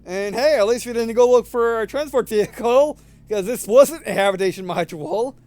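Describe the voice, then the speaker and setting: High-voiced man reading game text aloud. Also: moderate pace, a little mellow, no room sound. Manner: stumbles over words while reading aloud. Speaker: video game let's player. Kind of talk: reading visual novel text aloud with casual commentary.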